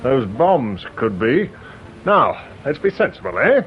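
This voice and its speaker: An actor with an English accent playing a bullying, sardonic chief inspector in a British radio drama, brisk and goading. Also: male voice, medium pitch, sing-song, slight background noise. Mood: needling, pressing. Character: bullying, sardonic male chief inspector, needling and self-important. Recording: dull radio drama recording.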